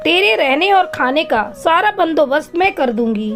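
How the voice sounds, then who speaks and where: High-pitched bustling cartoon auntie bird acted by a woman. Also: lively intonation, normal speed, a bit bright. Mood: brisk, helpful. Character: bustling, helpful neighbourly female bird auntie. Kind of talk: Hindi cartoon moral-story dubbing. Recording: music behind the voice.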